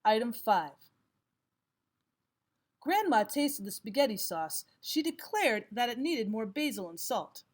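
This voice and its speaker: Higher-voiced woman teaching a lesson. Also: very animated, unhurried, long pauses. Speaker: English grammar teacher. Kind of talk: scripted lesson narration, reading quiz items aloud.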